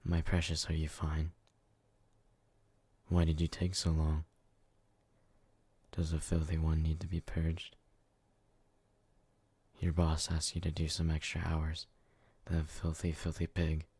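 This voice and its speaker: An actor playing an obsessive, jealous, brutish yet devoted lover in an ASMR roleplay, with an intense, clingy murmur. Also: male voice, rumbling low, monotone, measured pace, long pauses, dark tone, slightly rough, dry recording, heavily acted. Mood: possessive, disgusted. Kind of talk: ASMR romantic roleplay acting.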